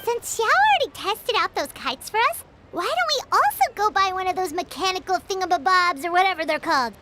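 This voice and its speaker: A bubbly tiny companion creature. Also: female voice, very high-pitched, very animated, fairly quick, heavy background noise. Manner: bubbly, eager, chatty. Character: small cheerful female companion creature. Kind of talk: game voice acting. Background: game background music.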